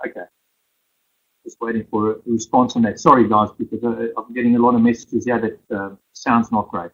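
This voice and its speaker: A man hosting a live webinar, brisk and checking in with the audience. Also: mid-range voice, little pitch movement, a bit bright, husky, lo-fi sound. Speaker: course host.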